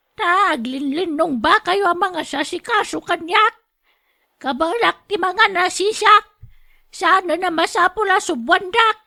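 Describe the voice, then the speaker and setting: Querulous, proud granny, Ilocano accent, extremely high bright voice, slow delivery, radio drama. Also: female voice, full character voice. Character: stubborn, proud elderly mother and grandmother, insisting she is strong.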